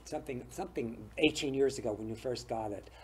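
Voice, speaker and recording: A man's voice: medium pitch, fast talker, a loud crowd. Chatty older male talk show host. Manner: chatty, laughs often, stumbles and repeats words. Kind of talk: casual interview chat. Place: small TV studio set with bookshelves.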